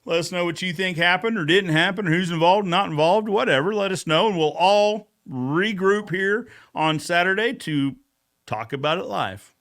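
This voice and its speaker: A man hosting a casual, bantering recap show in an animated, informal way. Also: high voice, normal speed. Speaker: show host.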